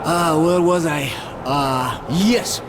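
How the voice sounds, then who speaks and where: An actor playing a talkative, falsely friendly military major in a video game, with a Russian accent and expressive, chatty delivery. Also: male voice, a little high, slow, noisy background. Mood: earnest, opinionated. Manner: chatty, expressive, peppering speech with foreign exclamations. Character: boisterous, talkative Red Line army major, falsely friendly.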